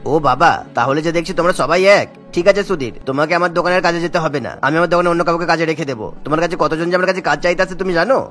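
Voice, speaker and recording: A man acts a scornful cartoon boss. He is sarcastic and domineering, firing words out very rapidly in a fairly high male voice. Light music sits behind him. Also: a bit bright, close to an everyday voice. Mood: angry, scornful. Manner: scolding, sarcastic. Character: gruff, bossy male shop owner, scornful. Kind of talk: cartoon voice acting.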